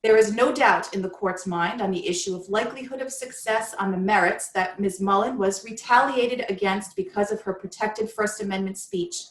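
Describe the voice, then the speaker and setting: A woman giving a dramatic, measured reading of a court opinion. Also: medium pitch, reverberant, low-quality recording. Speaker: union staff reading a court opinion aloud. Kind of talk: dramatic reading of a legal ruling.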